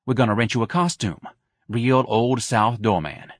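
A man's voice: mid-range voice, normal speed, no room sound, clean studio sound, lightly acted. A man voicing a hard, scheming woman who runs a bar, curt and browbeating, in an audiobook. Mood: cold, scheming.

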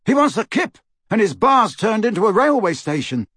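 A jaunty teenage boy prankster, put on by a male audiobook narrator, pitched high and full of swing. He sounds breezy and wisecracking. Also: very animated, normal speed, fairly bright tone, breathy edge, no echo, studio quality, heavily acted. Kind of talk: audiobook character voice.

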